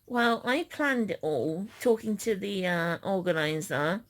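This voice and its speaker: A woman's voice: mid-range voice, a little slow. A woman vlogger chatting casually in a giggly way. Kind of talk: casual chat.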